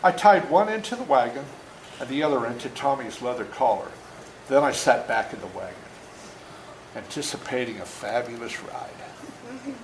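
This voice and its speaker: An older man reads his own story aloud to children in an animated, folksy way, stopping for asides to explain things. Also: mid-range voice. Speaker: author reading his own book to schoolchildren. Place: indoor room at a school. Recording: echoey room with faint background noise.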